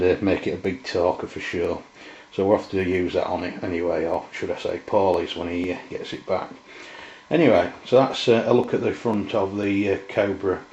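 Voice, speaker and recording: A British man giving a casual, unscripted walkthrough as a hobbyist presenter, speaking in a halting way. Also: low voice, a little mellow. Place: indoors in a domestic kitchen. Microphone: camera mic, behind the camera. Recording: roomy, dull camera sound.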